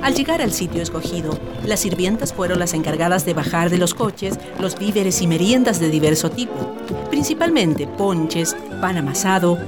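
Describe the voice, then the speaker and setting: A woman's voice: fairly low pitch, animated, normal speed, over loud music. A woman reading scripted narration for a radio drama as its storyteller.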